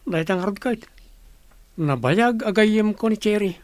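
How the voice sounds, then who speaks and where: An actor playing a brisk, scheming character in a Philippine radio drama. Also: medium pitch, expressive, dry recording, lightly acted. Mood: brisk, conspiring.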